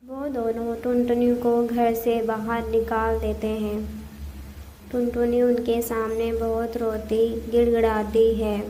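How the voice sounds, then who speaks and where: A woman's voice: on the high side, completely flat delivery, slow pace, dark tone, slight background noise. A woman giving scripted narration for a cartoon story.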